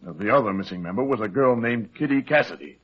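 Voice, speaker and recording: An actor playing a brisk, commanding old insurance company president in an old-time radio drama, businesslike and emphatic. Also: male voice, mid-range voice, animated, slightly rough. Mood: confiding, brisk. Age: older. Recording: muffled old radio broadcast recording.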